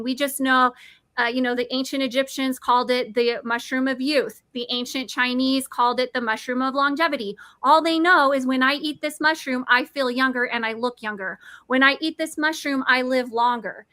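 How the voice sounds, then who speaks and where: A woman's voice: sits high, steady tone, moderate pace, pushed and bright, dry recording. A woman in her forties enthusiastically explaining mushroom supplements, eager and nerdy, often restarting words. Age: 40s. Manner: eager, nerdy, self-correcting. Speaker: product presenter.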